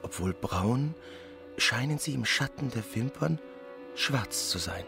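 An actor playing a smitten country doctor in a literary radio play, musing in a hushed inner monologue. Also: male voice, mid-range voice, lively intonation, slow, creaky, music in the background. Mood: entranced, musing. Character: smitten young country doctor, wistfully admiring a woman.

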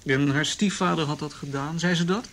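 An actor in a radio drama playing a patient, courteous police officer who questions gently and politely coaxes. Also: male voice, fairly high pitch, brisk. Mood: probing. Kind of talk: Dutch radio drama acting.

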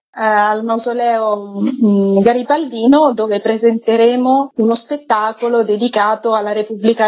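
A woman speaks as a guest in a radio interview. Her voice is fairly high and she explains things while now and then searching for words. The audio is a bit dull. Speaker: interview guest, cultural association representative. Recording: dull, band-limited sound.

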